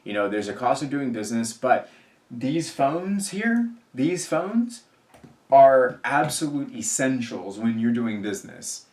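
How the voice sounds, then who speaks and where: A man's voice: mid-range voice, lively intonation, unhurried, darkish tone. A man in his thirties vlogging casually, rambling with many fillers and restarts. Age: 30s. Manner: rambling, filler-heavy, repeats himself. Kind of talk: casual talk to camera. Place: indoors at home work space. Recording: roomy echo, faint music behind.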